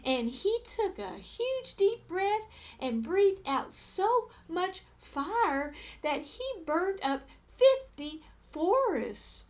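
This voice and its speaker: A woman reading a children's story aloud as an expressive narrator. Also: very high-pitched, very slow.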